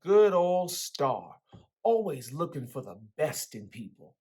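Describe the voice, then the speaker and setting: A woman as a swaggering, husky teenage guy. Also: sits low, very animated, takes it slowly, warm tone, exaggerated voice. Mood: bitter, resigned. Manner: slangy, teasing, swaggering. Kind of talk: dramatic reading of a novel.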